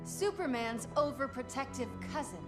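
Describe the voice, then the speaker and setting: Fierce, very high-voiced young superheroine. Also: female voice, expressive, moderate pace, over loud music. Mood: defiant. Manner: defiant, intense. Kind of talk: dramatic video game cutscene acting. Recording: loud score, roaring crowd noise behind.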